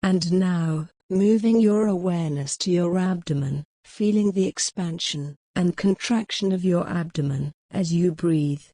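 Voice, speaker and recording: Female meditation guide, lowish pitch, very unhurried and measured, close dry sound. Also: no room sound. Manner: measured, instructional, gently pausing between phrases.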